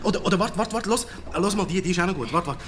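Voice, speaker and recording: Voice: mid-range voice, animated, very fast, background noise, close to an everyday voice. A performer voicing a cocky, boastful city cockroach in a children's radio play, talking swaggering hip-hop slang with a Swiss German accent. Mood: irritated. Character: cocky streetwise city cockroach, slangy braggart, easily riled.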